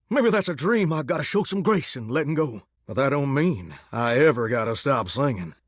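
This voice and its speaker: An actor voicing a lovesick talking shower in a game, with a drawl and a folksy, earnest way of talking. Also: male voice, a little high, dry recording, studio quality. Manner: folksy, earnest and sweetly corny. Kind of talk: video game character dialogue.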